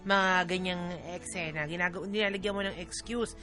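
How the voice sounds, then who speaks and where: A woman's voice: lowish voice, steady tone, normal speed, over music. A woman with a Filipino accent co-hosting a radio show, giving blunt, emphatic advice in casual banter. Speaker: radio DJ co-host.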